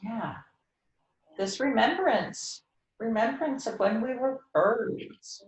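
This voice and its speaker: A middle-aged woman talking reflectively and intimately about poetry, gently and contemplatively, with hesitant pauses. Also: a little high, lively intonation. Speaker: host reading and discussing poetry. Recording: roomy, slightly dull sound.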